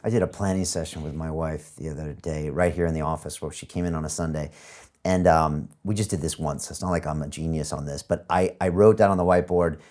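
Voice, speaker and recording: A man's voice: extremely low pitch, fairly even intonation, brisk, a little mellow. A man interviewing someone, talking casually and telling stories to illustrate his points. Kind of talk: casual interview conversation, storytelling.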